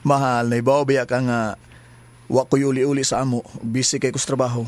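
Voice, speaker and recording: A man's voice: mid-range voice, level tone, normal speed, natural voice. A man acting as a devoted, protective young boyfriend in a Cebuano radio drama, with a Cebuano Filipino accent. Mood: affectionate. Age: young adult.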